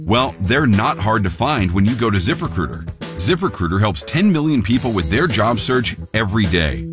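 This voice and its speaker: Low, lively male ad voice. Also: normal speed, over music. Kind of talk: scripted radio ad read.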